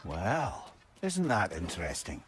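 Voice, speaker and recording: An actor with a Scottish accent playing a witty, wordy old sage, a talking head, in a video game drama. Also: male voice, deep voice, wildly swooping pitch, slow, slight background noise, heavily acted. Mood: wry. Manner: wordy, wry, colloquial cursing. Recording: game music behind the voice.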